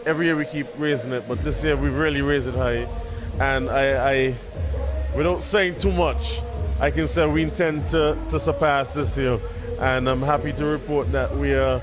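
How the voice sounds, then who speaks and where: A man answering interview questions as an event chairman, halting and repeating his words. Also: mid-range voice, fairly even intonation, background noise. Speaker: festival committee chairman being interviewed. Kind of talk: spontaneous on-location interview. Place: at a music festival at night. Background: live concert music.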